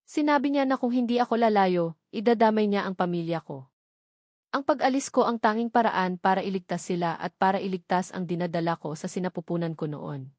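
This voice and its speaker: A woman's voice: medium pitch, fairly quick, darkish tone, dry recording, studio quality, natural voice. Frightened, urgent mother, played by a woman narrator. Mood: bitter fear. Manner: urgent, fearful. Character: frightened, bitter mother desperate to protect her children. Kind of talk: audio drama dialogue.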